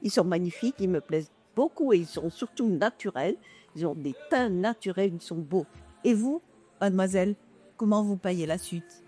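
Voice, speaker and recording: A woman interviewer of middle pitch questions young models at a gallery event. She sounds encouraging and chatty, and faint music plays behind her. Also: expressive, normal speed, dark tone. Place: art gallery.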